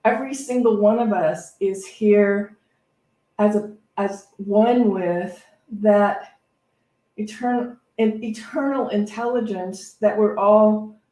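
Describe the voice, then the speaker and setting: Woman reverend, reflective, anecdotal, halting unscripted delivery, echoey room, distant mic. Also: mid-range voice, steady tone, warm tone. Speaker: reverend giving a spiritual talk. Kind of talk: conversational, unscripted inspirational talk.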